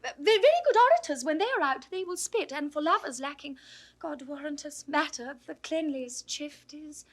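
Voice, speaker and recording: A woman's voice: sits high, expressive, moderate pace. An actress playing a witty, teasing young woman in love in a classical 1960s audio drama, theatrical and playful with a British accent. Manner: theatrical, playful, emphatic, swooping delivery. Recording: 1962 studio recording with faint noise.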